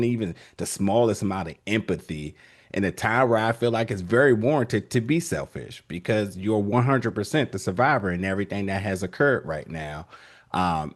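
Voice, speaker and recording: A man interviewing film guests, rambling through long questions with many restarts. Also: lowish voice.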